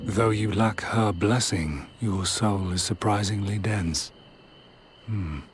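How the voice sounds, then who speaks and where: A man playing a solemn, vengeful immortal sorcerer in a fantasy video game, speaking formally and deliberately with cold duty. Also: fairly low pitch, slight background noise. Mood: cool appraisal. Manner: grave, deliberate, archaic formal speech. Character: solemn, vengeful immortal male sorcerer villain, coldly dutiful. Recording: orchestral music behind the voice.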